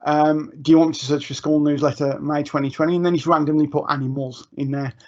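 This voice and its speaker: Guy doing a chatty screen-share tutorial for teachers, lots of ums, slightly high voice, muffled lo-fi stream sound. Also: flat delivery, fast talker, a little mellow. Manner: chatty, informal, many ums and restarts. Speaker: education technology trainer. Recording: muffled lo-fi online stream audio.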